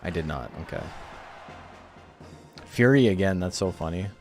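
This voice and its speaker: A man's voice: sits low, expressive, moderate pace, a little mellow, faint music behind. A man streaming and commentating a video game tournament in a laid-back, wry, teasing way, calling viewers "brother" and "man".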